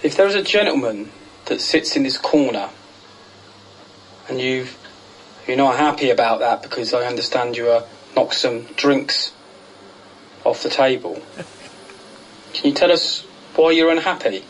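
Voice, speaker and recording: A man plays a courteous paranormal investigator in a radio play. He speaks tentatively in an average-pitched voice, with faint noise behind him. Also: animated, unhurried, pauses often, close to an everyday voice, poor audio. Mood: coaxing, respectful. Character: respectful, hesitant male ghost hunter. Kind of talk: audio drama acting.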